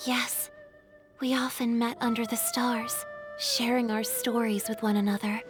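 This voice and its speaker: A woman voicing a gentle, wistful female water spirit in a video game, speaking earnestly like a storyteller. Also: fairly high pitch, lively intonation, a little slow. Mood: tender nostalgia. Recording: background music under dialogue.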